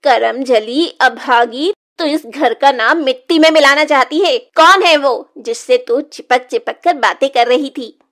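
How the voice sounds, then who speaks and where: A woman's voice: high-pitched, a little slow, hardly any pauses, a bit bright. A woman voicing a cruel, scolding middle-aged village stepmother in a Hindi moral-story cartoon, with a harsh, taunting manner. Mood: furious.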